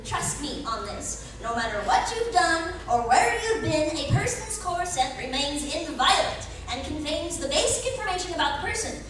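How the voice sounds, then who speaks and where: A woman's voice: extremely high pitch, lively intonation, moderate pace, hardly any pauses. A woman playing a grumpy, sceptical talking cat with a sardonic, exclamatory manner in a comic radio play. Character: grumpy, sceptical talking cat, self-styled emperor of cats. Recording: echoey, distant, noisy live stage recording.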